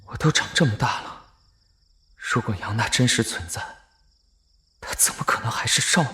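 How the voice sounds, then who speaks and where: A man's voice: mid-range voice, moderate pace, pushed and bright, breathy edge, dry recording. A man playing a wary young cultivator who leads a group, in a Chinese audio drama. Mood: uneasy. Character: wary young male cultivator, quietly troubled group leader.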